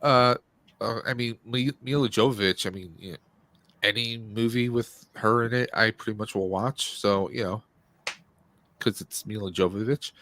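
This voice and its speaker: A man's voice: on the low side, normal speed, a bit bright, no echo. Casual male podcast host, dry close sound. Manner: informal, jokey asides. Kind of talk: casual livestream chat about movies and games.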